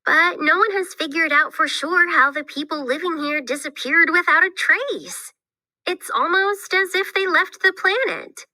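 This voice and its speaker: A woman's voice: extremely high pitch, measured pace, sharp tone, dry recording, pitched above the performer's own voice, heavily acted. A woman voicing an eager, knowledgeable young girl explorer in a scripted read-aloud for children, explaining things with enthusiasm. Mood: wondering, upbeat.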